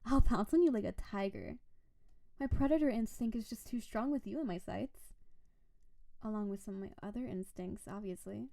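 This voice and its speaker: Woman voicing a mellow, possessive snow-spirit wife. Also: medium pitch, moderate pace, creaky, lightly acted. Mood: playful, predatory. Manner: sweet, teasing, clingy, softly menacing. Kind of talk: intimate scripted roleplay audio addressed to the listener.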